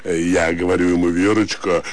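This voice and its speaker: An actor playing a genial, joking middle-aged gentleman host in a radio drama, effusive and playfully ornate. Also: low voice, level tone, normal speed, no echo, natural voice. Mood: jovial, effusive. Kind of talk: Russian radio drama acting.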